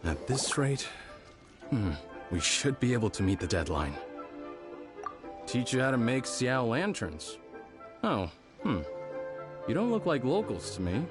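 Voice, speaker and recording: An actor playing a friendly, patient, easygoing craftsman in a video game, encouraging, with thoughtful pauses. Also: medium pitch, very animated. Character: friendly, patient male festival lantern craftsman. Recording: music behind the voice.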